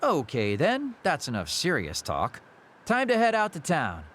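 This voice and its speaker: A man's voice: fairly high pitch, wildly swooping pitch, unhurried, a bit bright, a little hiss or hum, full character voice. An actor playing a teasing, world-weary immortal man in a video game cutscene. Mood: playful. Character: burned-out, playful man two thousand years old, hollow inside. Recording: music behind the voice.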